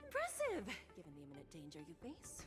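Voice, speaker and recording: A woman's voice: lowish voice, wildly swooping pitch, fast. A woman voicing a composed, courteous tournament official in a video game, formal with a teasing edge. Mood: polite, teasing. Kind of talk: scripted video game voice acting. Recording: long reverb, game music behind.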